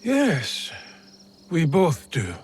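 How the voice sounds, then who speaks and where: A man's voice: on the high side, huge pitch swings, extremely slow, a little mellow, slight background noise, heavily acted. A man playing a cold, scheming middle-aged samurai lord in a video game, with a guarded, menacing manner. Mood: cold, defensive. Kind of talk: video game cutscene acting. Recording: dramatic music behind the voice.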